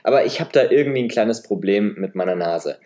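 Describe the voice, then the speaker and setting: A man in his twenties speaks in a fairly low, nasal voice with a light Saxon colouring to his German. He hosts a solo podcast from notes, chatting to his listeners with a self-deprecating touch. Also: lively intonation, fairly quick, warm tone. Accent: slight Saxon German. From Leipzig, eastern Germany. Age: 20s. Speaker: podcast host. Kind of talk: semi-scripted solo podcast monologue. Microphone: podcast microphone.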